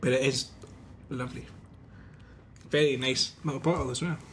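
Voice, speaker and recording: An easygoing man chatting casually, sometimes pausing to find his words. His voice sits at a middle pitch. Faint noise runs under the recording. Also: steady tone. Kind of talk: casual chat.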